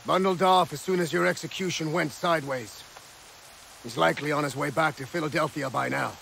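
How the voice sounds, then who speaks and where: An actor playing a middle-aged army officer in a video game, gruff, emphatic and commanding. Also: male voice, fairly high pitch, brisk, a bit bright, a little hiss or hum. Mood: wry. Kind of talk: video game cutscene acting.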